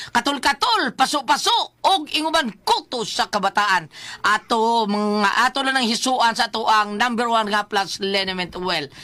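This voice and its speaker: A woman radio host with a Cebuano Bisaya accent and a very high voice. She speaks in a dry, close sound, pitching sponsors and sending greetings in an emphatic, conversational way. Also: bright, forceful tone, no echo. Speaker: radio show host reading sponsor plugs. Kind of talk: live radio ad-libbed advertising and greetings.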